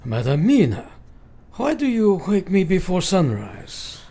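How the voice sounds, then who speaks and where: An actor playing a grave, commanding elderly professor in a radio play, speaking in formal, old-fashioned, deliberate phrases. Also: male voice, sits high, wildly swooping pitch, warm tone, breathy edge, full character voice. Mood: intent.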